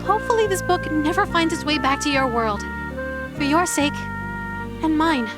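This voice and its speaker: Gentle young eldritch girl, game character, female actor. High, soft, wistful, over prominent guitar music. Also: expressive, normal speed. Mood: gentle, bittersweet. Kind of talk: visual novel game voice acting. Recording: guitar music loud behind voice.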